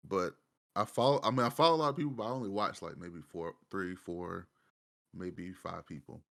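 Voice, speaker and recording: Man, low voice, dry close sound, casual chat, emphatic, repeats phrases. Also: brisk, vocal fry, fairly bright tone, no room sound. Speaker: podcast panelist.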